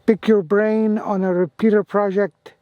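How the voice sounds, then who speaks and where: A man's voice: high voice, little pitch movement, a little slow, dry recording, dull audio. A man chatting casually as an amateur radio vlogger, effusive and given to repeating himself. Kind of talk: casual radio chat and hands-on commentary.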